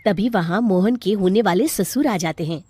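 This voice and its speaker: A woman's voice: mid-range voice, normal speed, dark tone, slightly rough, no echo, soft background music. A woman narrating a scripted children's story as the storyteller.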